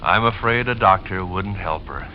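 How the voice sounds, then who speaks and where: Secretive husband, noisy old radio. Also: male voice, deep voice, animated, normal speed. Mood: falsely cheerful. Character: polite, evasive, secretive husband of a sick wife. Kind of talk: 1940s radio suspense acting. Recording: noisy, dull 1940s radio recording.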